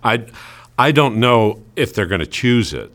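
A man's voice: mid-range voice, big pitch swings, a little slow, fairly bright tone. A man being interviewed as a writer, telling anecdotes casually and acting out remarks he quotes. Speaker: amateur playwright being interviewed.

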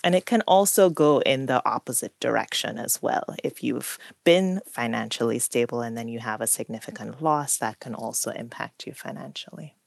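A woman from Trinidad and Tobago, a psychologist and financial therapist, explaining in a measured way as a guest on a radio interview. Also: very deep voice, vocal fry, no echo, clean studio sound.

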